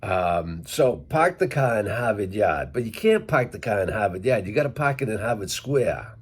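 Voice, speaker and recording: A man's voice: lowish voice, lively intonation, moderate pace, husky. A middle-aged man from Worcester, Massachusetts, with a light local accent, explaining English sounds casually to his viewers and spelling out words step by step. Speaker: English teacher vlogger. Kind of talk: casual teaching talk to camera.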